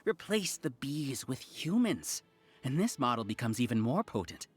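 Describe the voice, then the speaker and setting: A grandiose scholarly villain with a mid-pitched voice that swings widely. He speaks like a video game cult leader, theatrical, deliberate and condescending. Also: sing-song, husky, no room sound.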